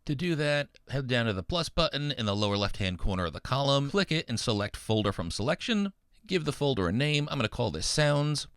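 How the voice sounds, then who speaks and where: A man's voice: mid-range voice, big pitch swings, brisk, a bit bright, smooth, dry recording, studio quality. A middle-aged man narrating a scripted programming tutorial as an instructor, with an upbeat, folksy manner and playful asides.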